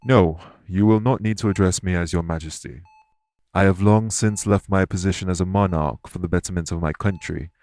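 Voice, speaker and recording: An actor playing a courteous, remorseful immortal elven king in an audio roleplay, speaking in formal, measured phrases. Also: sits low, darkish tone, dry recording. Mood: calm, earnest. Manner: formal, measured, stately wording. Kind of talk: scripted audio roleplay acting.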